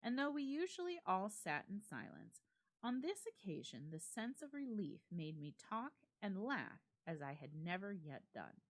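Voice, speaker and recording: A woman giving a dramatic reading of a classic ghost story, with measured, expressive phrasing and deliberate pauses. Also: mid-range voice. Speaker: library staff member reading a story aloud.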